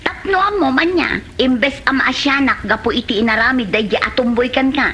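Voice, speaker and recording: A woman's voice: high-pitched, normal speed, bright, forceful tone, a little hiss or hum. A woman with an Ilocano Filipino accent playing a feisty village woman in a radio comedy drama. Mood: scolding.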